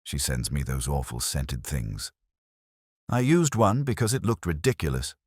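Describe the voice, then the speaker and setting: A man's voice: extremely low pitch, lively intonation, unhurried, a little mellow, slightly rough, no echo, clean studio sound, exaggerated voice. A man voicing a weary, nervous, guilty caretaker in an audio drama, hesitant and confessional. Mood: sheepish confession. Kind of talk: audio drama character reading.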